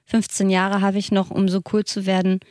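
A woman's voice: medium pitch, monotone, moderate pace, darkish tone, no room sound, studio quality. Chatty self-ironic woman in her thirties. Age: 30s. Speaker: podcast co-host. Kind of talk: casual podcast chat.

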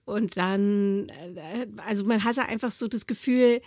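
A woman's voice: mid-range voice, a little slow, a little mellow, no room sound, clean studio sound. A woman in her thirties from northern Germany, talking casually as a guest in an interview, full of fillers and frequent laughs. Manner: chatty, laughs often, many fillers.

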